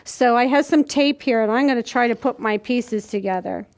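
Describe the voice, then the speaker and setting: A woman's voice: a little high, moderate pace. A woman in her sixties hosting a children's storytime, explaining things to young children in simple steps as she demonstrates. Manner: simple, step-by-step, demonstrating while speaking. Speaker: children's library storytime host. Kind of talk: instructional talk to young children. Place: indoors, small plain room. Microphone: camera a few feet away.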